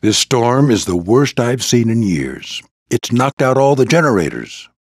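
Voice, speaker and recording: Husky old American warplane mentor. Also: male voice, mid-range voice, sing-song, unhurried, no echo, studio quality, heavily acted. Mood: reminiscing. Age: older. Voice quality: gravelly, husky. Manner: commanding, folksy military mentor. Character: proud old male military plane, gruff squadron mentor. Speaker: voice actor in a video game. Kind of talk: scripted video game character lines.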